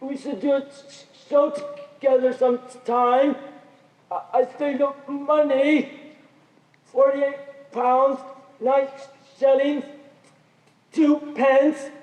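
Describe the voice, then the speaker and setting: A man acting as a pitiable, gentle outcast who stammers through his words. The voice is very high and flat, halting and meek. It is heard from far off in an echoey hall. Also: lo-fi sound. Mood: meek, pitying. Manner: hesitant, meek. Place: high school theater stage.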